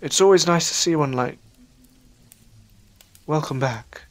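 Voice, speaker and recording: A man playing a weary, bewildered adventurer in an audio drama, speaking in a halting, earnest way. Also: on the high side, soft background music, lightly acted. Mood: wistful. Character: weary, bewildered male adventurer returned from limbo, reflective and sincere.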